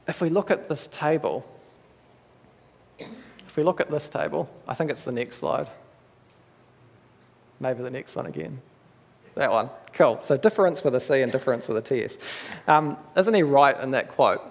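A man with a New Zealand accent delivers a sermon in a church hall, speaking in an earnest, measured way. His delivery stays conversational, with small restarts. The long reverb of the hall trails after his words. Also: mid-range voice, lively intonation, creaky. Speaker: preacher.

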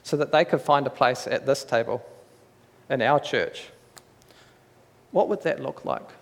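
A man with a New Zealand accent preaching a sermon in an earnest, measured, conversational way, with small restarts. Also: medium pitch, creaky. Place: church hall. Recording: echoey church hall.

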